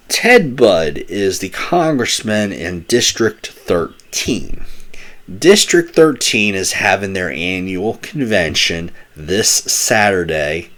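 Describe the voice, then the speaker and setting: A man with a low voice that swings widely in pitch as he talks. He gives casual, opinionated political commentary, stumbling and restarting his phrases often. His voice sounds slightly hoarse. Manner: animated, opinionated, with frequent restarts.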